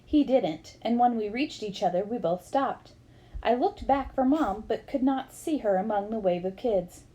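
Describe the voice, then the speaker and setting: A woman's voice: a little high, expressive, normal speed, dark tone, low-quality recording. A woman reading a memoir aloud as scripted storybook narration. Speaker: reader of a book aloud.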